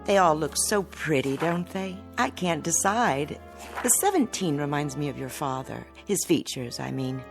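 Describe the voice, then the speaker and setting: A woman's voice: deep voice, animated, moderate pace, gravelly, faint music behind. An actress playing a middle-aged mother in a radio drama, fussing and coaxing with motherly insistence.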